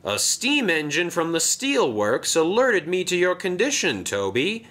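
A fussy, commanding railway director, put on by a man in a storybook reading. He speaks formally and crisply in a bright voice, with a pitch that climbs and dips dramatically. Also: wildly swooping pitch, full character voice. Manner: formal, brisk.

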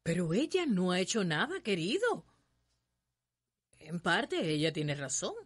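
Timid cuban mom in a radio soap, pleading, up n down pitch. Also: female voice, sing-song, measured pace, breathy edge, full character voice. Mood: pleading, hurt. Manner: appeasing, plaintive. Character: timid, pleading wife and mother. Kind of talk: radio drama acting.

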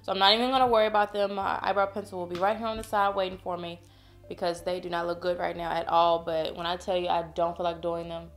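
A woman's voice: lowish voice, flat delivery, fast talker, soft background music. Chatty congested woman doing makeup talk. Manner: chatty, playful, addresses viewers as y'all. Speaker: beauty vlogger. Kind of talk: casual makeup tutorial chat. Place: home room, indoors.

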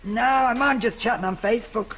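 A woman's voice: fairly high pitch, brisk, a bit bright, lightly acted. A woman playing a teasing, incredulous grown-up daughter in a studio sitcom. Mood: teasingly incredulous. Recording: noisy live studio audience sitcom.

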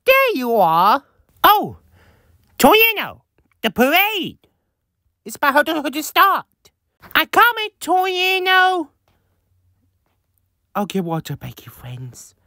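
A woman improvising character lines for a fan video in a halting, repetitive way, stumbling over her words. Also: a little high, fairly bright tone, dry recording, clean studio sound. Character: excitable woman in a bird costume.

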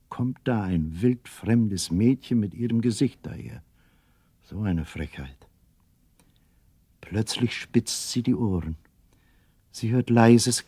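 Husky male storyteller, dry sound. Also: on the low side, mellow, no room sound. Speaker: narrator. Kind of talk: scripted children's story narration.

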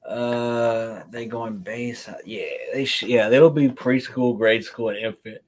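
A man's voice: medium pitch, a little slow. A man, hosting a sneaker livestream and chatting casually as he answers viewer comments. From Kentucky. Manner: relaxed, chatty, answers chat questions on the fly. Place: room with shelves of sneakers, indoors.

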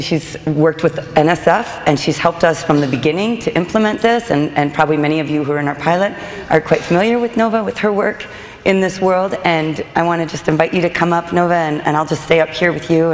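A woman's voice: sits low, a little hiss or hum. A woman moderator making enthusiastic, thankful introductions of panelists on a conference stage. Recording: echoey hall, crowd faintly behind.

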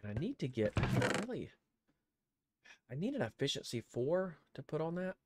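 A man's voice: on the high side, big pitch swings, normal speed, darkish tone, slight background noise. An older man with a head cold, streaming a game from home on a headset mic and thinking aloud as he plays, often counting under his breath. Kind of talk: casual gameplay commentary. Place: home room.

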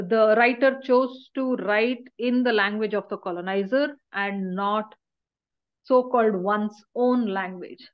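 A middle-aged woman teaches a university class online, speaking into a laptop webcam from a small room. She explains at a steady mid pitch and keeps checking in with her listeners. The audio is muffled and lo-fi, like a video call. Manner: explanatory, with frequent checking "right?" tags. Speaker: university lecturer.

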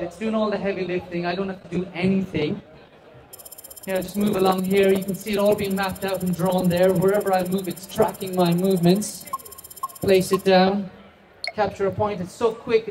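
Upbeat Irish man with a higher voice. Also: rough recording. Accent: west of Ireland. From Ireland. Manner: chatty, self-deprecating, upbeat. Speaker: product demonstrator. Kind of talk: live product demo. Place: large indoor trade show hall. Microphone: handheld microphone.

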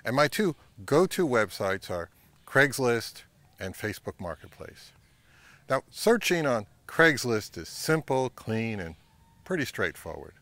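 A middle-aged man hosts an instructional vlog outdoors, speaking to a camera a short distance away. His delivery is measured and explanatory, with a mid-range voice that moves up and down in an animated way. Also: medium pitch, no room sound. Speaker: vintage audio channel host. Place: outdoors by a pond and on a wooded trail.

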